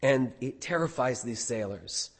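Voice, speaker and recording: A hoarse man preaching a sermon as pastor, animated, with rhetorical questions. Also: mid-range voice, a little slow, in a large hall.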